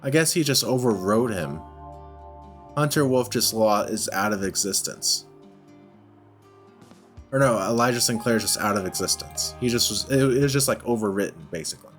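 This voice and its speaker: A man giving casual gameplay commentary, thinking out loud in short, halting phrases. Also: fairly low pitch, lively intonation. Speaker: let's play host. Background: music.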